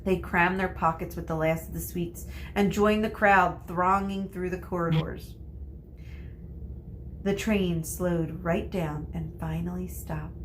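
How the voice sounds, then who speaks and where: A woman teacher reading a children's novel aloud in a deliberate, explanatory way. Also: on the low side, a little hiss or hum, rough recording. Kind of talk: storybook read-aloud.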